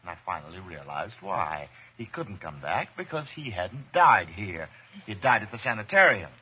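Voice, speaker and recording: An actor playing an obsessed, murderous doctor in a theatrical old-time radio horror drama. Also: male voice, fairly low pitch, very animated, normal speed. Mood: feverish, obsessed. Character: obsessed doctor turned coldly murderous, later terrified. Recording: dull, noisy vintage radio recording.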